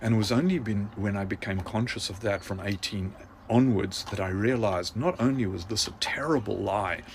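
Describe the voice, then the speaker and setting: A man with a very low voice talks in an impassioned, insistent way, stacking point on point. A faint hum of room ambience sits under his speech. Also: moderate pace. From South Africa. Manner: earnest, insistent, building lists. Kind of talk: impassioned monologue.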